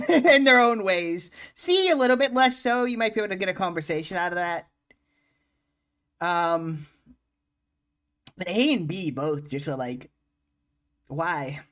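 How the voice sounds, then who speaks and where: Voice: mid-range voice, a bit bright. A streamer commenting casually on a video game, mocking it with frequent laughs and swearing.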